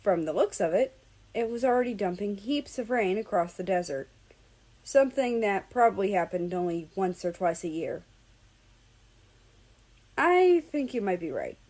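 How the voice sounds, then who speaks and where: A woman's voice: medium pitch, normal speed, warm tone, rough recording. A woman narrating a story expressively from a script as a reader of fan fiction.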